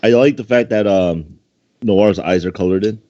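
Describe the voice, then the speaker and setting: A man's voice: lowish voice, normal speed, warm tone. A man chatting casually and commenting as a co-host and contest judge. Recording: dull lo-fi voice chat audio.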